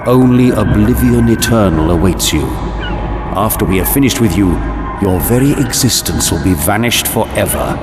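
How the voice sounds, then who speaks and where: An actor playing a haughty, treacherous angel in a game, speaking in a solemn, pompous and scornful way. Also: male voice, on the low side, expressive, moderate pace, over music, natural voice.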